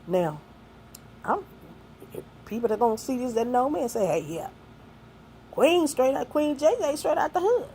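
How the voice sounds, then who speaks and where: A woman's voice: high-pitched, big pitch swings, moderate pace, pauses often, warm tone, a little hiss or hum. Earnest older woman giving folksy advice. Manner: earnest and folksy, mixing faith and street talk. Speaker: speaker giving life advice. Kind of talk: heartfelt talk to young people.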